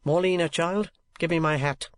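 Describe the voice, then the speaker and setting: A man's voice: fairly high pitch, fairly even intonation, moderate pace, voice pushed up, natural voice. A man reading aloud, voicing a pompous, easily offended older rich uncle who talks sharply. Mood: huffy. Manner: sharp, huffy and self-important. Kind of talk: audiobook character voice.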